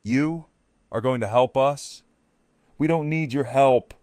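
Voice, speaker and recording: An actor playing an agitated, embittered leader of apocalypse survivors in an audio drama, confrontational and forceful. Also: male voice, mid-range voice, slow, no echo, close to an everyday voice. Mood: resentful anger.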